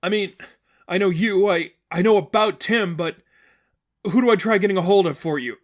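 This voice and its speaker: Guy doing a scared young woman in an audiobook, high and loud, frantic, stammers a lot. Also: normal speed, natural voice. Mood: urgent, concerned. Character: panicked, bewildered young woman, just rescued.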